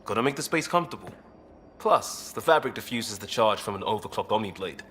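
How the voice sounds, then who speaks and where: A low-voiced, easygoing ex-cop. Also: male voice, expressive, fairly quick, a bit bright, slight background noise. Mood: casual. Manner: casual, clipped, candid. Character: easygoing, blunt ex-cop human crisis responder, male. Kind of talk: video game voice acting.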